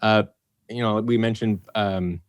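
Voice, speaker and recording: A low-voiced man talks in a relaxed, easygoing way on a podcast. His voice is recorded close and dry, with no room sound. He tells behind-the-scenes stories at an even clip. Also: unhurried, no echo. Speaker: producer and voice actor guest. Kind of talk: casual podcast chat.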